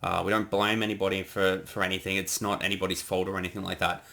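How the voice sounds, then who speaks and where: A man giving a casual creator commentary, explaining choices and thinking aloud with pauses. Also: sits low, level tone, gravelly, bright, forceful tone.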